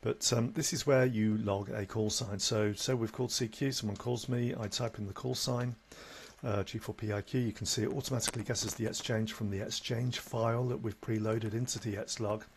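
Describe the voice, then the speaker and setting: A man presenting an informal step-by-step software tutorial, matter-of-fact and a little halting as he demonstrates. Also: fairly low pitch, steady tone, a little hiss or hum.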